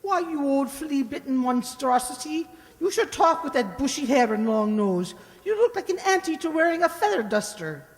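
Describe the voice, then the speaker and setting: A woman playing a brash, tactless older landlady in an old-time radio comedy, blustery and sharp-tongued. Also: high-pitched, expressive, normal speed. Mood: scolding. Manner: blustery, scolding. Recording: hall reverb on stage-like recording.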